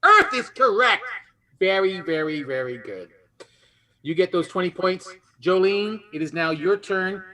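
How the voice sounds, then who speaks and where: A man hosting a lively quiz game, teasing and encouraging the contestants as he goes. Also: high voice, unhurried. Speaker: game show host.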